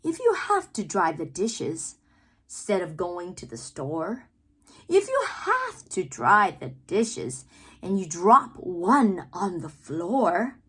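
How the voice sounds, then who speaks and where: A woman reading children's poetry aloud with theatrical, deliberate phrasing and playful emphasis, adding asides as the reader. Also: mid-range voice, a bit bright, breathy edge. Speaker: read-aloud host, teacher-like reader.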